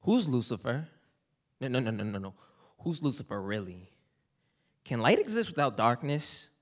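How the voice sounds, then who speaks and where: A man's voice: medium pitch, big pitch swings, normal speed, pitched above the performer's own voice, lightly acted. Young man speaking as a questioning child. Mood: urgent. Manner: rising, searching questions. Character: anxious child questioning its mother. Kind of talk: spoken word poetry performance. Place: stage of a lecture hall with an audience. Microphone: mic on a stand.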